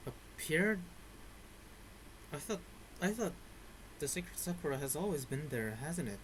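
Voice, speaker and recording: A man giving casual game commentary in a halting way, searching for words. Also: a little high, very animated, slight background noise, rough recording. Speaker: gamer reacting to game story.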